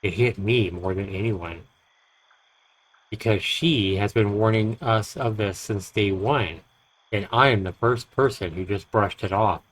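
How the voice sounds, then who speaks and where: A man reading a horror story aloud as dramatic narration, with deliberate, expressive phrasing. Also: lowish voice, slight background noise, poor audio. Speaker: streamer reading a visual novel aloud.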